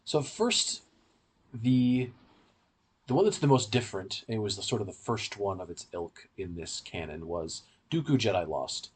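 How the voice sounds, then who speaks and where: Low, slightly husky man explaining at length. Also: sing-song, normal speed. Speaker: podcast co-host and reviewer. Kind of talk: casual analytical podcast discussion.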